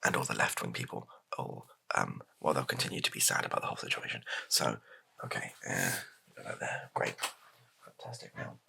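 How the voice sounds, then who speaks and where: A man playing a flustered, placating political advisor in an improvised ASMR comedy roleplay, speaking softly in a hesitant, stammering, deadpan way. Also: a bass voice, normal speed, voice pushed down, heavily acted. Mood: awkward, tactful. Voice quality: soft-spoken, gravelly, breathy. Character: flustered, placating male political spin doctor advising his boss.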